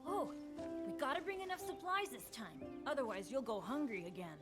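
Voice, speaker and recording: A woman's voice: high-pitched, big pitch swings, brisk. A woman playing a teasing young companion in a fantasy game trailer. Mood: playful. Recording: loud music over quiet playback.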